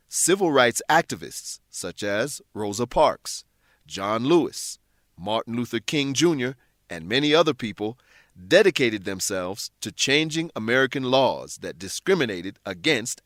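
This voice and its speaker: A man presents a scripted English lesson in a careful, explanatory manner. His medium-pitched voice has strongly varied intonation that marks out key phrases. The recording is very dry, with no room sound. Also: a bit bright, no room sound. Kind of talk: scripted instructional narration.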